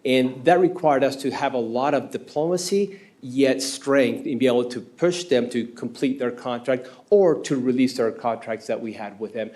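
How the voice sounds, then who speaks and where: Argentine accent guy in his 50s, council candidate, kinda halting, lots of uh's, mid pitch, at a podium mic. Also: darkish tone. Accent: Argentine Spanish. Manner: earnest, halting with frequent uh fillers. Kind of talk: answering an interview question at a public meeting. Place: city council chamber. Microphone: podium microphone.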